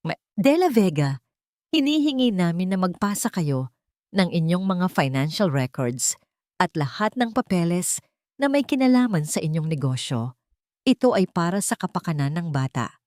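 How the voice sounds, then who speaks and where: A woman's voice: lowish voice, very animated, normal speed, a little mellow, no room sound, clean studio sound. Woman acting a demanding, formal Filipino lawyer. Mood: formal, pressing. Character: cold, aggressive lawyer pressing a case.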